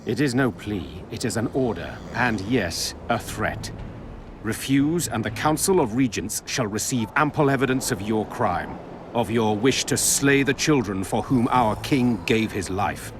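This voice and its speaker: An actor playing a stern, honourable military commander in a fantasy video game, speaking in a formal, measured and forceful way. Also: male voice, lowish voice, lively intonation, background noise. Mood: stern, threatening.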